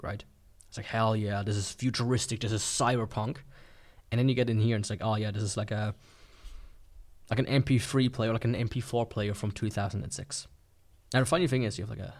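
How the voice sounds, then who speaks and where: A man giving casual, sarcastic, playfully exaggerated commentary as he unboxes and reviews a gadget. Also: on the low side.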